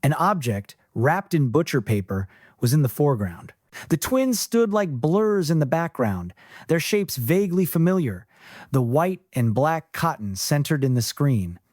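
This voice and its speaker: A man reading scripted fiction as an audiobook narrator, in a measured storytelling manner. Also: on the high side, sing-song, darkish tone, no echo, clean studio sound.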